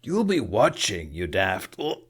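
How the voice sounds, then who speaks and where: A man's voice: sits low, wildly swooping pitch, measured pace, fairly bright tone, husky, full character voice. A man voicing a crude, cheeky young male Viking in an audiobook, blunt and given to complaint. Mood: indignant.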